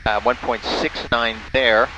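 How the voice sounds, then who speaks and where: A man's voice: mid-range voice, steady tone, slow, pushed and bright, dull audio. A man narrating a flight casually and making amateur radio calls as a pilot from a small airplane cockpit. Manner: spells out callsigns in phonetic alphabet. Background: faint vehicle engine noise.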